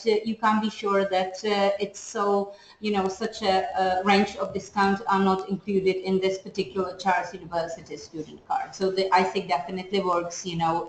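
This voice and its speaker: A woman on the program staff, speaking English haltingly with many fillers during a webinar presentation. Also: mid-range voice, echoey room, slight background noise, poor audio. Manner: halting, many fillers, non-native phrasing.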